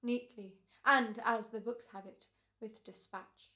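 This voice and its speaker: Woman acting quietly menacing gentleman killer, higher-pitched. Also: fairly even intonation. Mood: cold menace. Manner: formal, deliberate, clipped phrases. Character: quietly menacing gentleman murderer in a radio play. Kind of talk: 1940s radio thriller acting.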